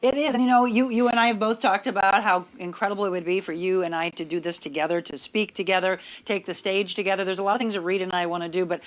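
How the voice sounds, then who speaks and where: A woman's voice: mid-range voice, steady tone, quick pace, few pauses. A woman hosting a conversational interview show, talking at length in an effusive, affirming way. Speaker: talk show host.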